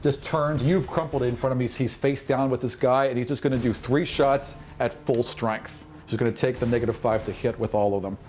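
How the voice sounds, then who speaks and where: A man's voice: mid-range voice, fairly even intonation, fairly quick, a little mellow. Guy being game master, making up the narration n doing sound effects, noisy room. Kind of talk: improvised tabletop game narration.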